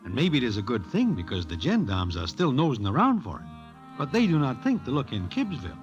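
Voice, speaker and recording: An actor with an American accent as a wry Broadway storyteller, narrating in slangy, oddly formal present tense in old-time radio style. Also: male voice, mid-range voice, huge pitch swings. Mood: wry, knowing. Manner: wry, measured, colloquially formal. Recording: loud music under the voice. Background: music.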